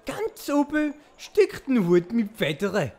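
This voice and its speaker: A narrator telling a comic audio play in a cheeky, lively way with a Swiss German accent. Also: mid-range voice, slow pace, heavily acted. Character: cheeky, lively storyteller of a mock legend.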